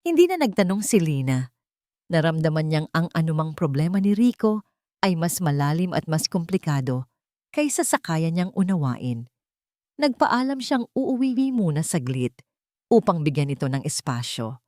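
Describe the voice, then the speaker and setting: A woman narrates a scripted love story as its storyteller. Her voice sits a little low for a woman, and the recording is dry and close. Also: sing-song, normal speed, dark tone, dry recording.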